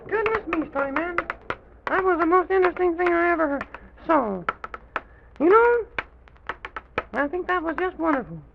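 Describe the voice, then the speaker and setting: Super high creaky little kid character, curious n eager, old dull radio recording w music. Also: male voice, big pitch swings, moderate pace, long pauses, background noise, full character voice. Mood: curious, delighted. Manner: exclaiming, wondering, repeating phrases. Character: President Pip, small excitable childlike character, curious and eager. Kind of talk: old-time children's radio serial acting.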